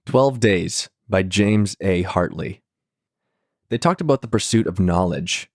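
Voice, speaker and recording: Male narrator for a somber first-person sci-fi audiobook, lowish voice, close dry read. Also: a little mellow, dry recording, soft background music. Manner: measured, somber storytelling. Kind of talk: first-person scripted story reading.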